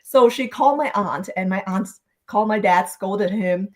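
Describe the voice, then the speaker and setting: A woman's voice: medium pitch, moderate pace, darkish tone. A woman from Vietnam, a podcast guest on a video call, telling her life story in a reflective way and laughing easily. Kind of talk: casual storytelling interview.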